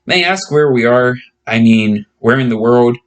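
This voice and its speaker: A man's voice: mid-range voice, animated, moderate pace, lightly acted. Casting: male reader as very small boy wizard in a storybook, earnest, anxious, formally courteous. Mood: polite, worried. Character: tiny young Japanese boy wizard, polite, earnest, anxious.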